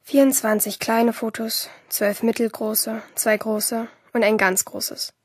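An actress playing a lonely, sardonic teenage schoolgirl who narrates her day in a radio drama, in a breathy voice. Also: a little high, flat delivery, slow pace, no echo, clean studio sound. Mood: sullen, sarcastic.

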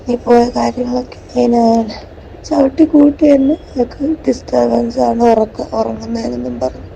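A woman's voice: fairly high pitch, level tone, normal speed, vocal fry, mellow. A distressed, pained woman with a Kerala Malayalam accent speaking in a private voice message to a friend. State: distressed, in pain. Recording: dull, noisy played-back voice message.

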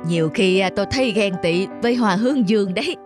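A woman voicing a gentle, loving old husband in a cartoon, tender and reassuring. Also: medium pitch, fast talker, over music, lightly acted.

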